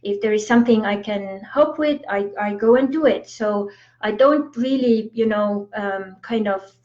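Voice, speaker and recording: A woman's voice: medium pitch, measured pace, a little mellow. Chatty Estonian-accented woman, lo-fi livestream. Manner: chatty, many fillers like "you know" and "like". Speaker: spiritual coach on a livestream. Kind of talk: casual motivational monologue. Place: home in the US. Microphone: livestream from phone or laptop. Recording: dull lo-fi livestream audio.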